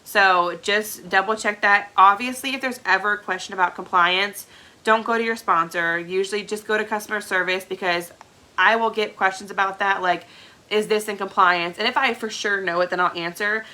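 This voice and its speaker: A woman's voice: mid-range voice, fairly even intonation, normal speed, pushed and bright. A young woman, stuffed up from congestion, chatting casually as she trains new sellers on a live stream from home and often checking in with "okay?". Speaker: direct sales team leader. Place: home room with a product shelf.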